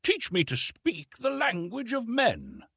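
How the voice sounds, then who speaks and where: A man's voice: very high-pitched, huge pitch swings, slow pace, vocal fry, a bit bright, breathy edge, dry recording, clean studio sound, voice pushed up, heavily acted. A man voicing a mighty, proud young jungle man raised by apes, speaking in solemn, boastful declarations. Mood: earnest.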